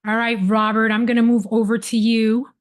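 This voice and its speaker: A woman's voice: a little high, completely flat delivery, moderate pace. Woman host, casual panel emcee, gushing praise for each guest. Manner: warm, effusive praise.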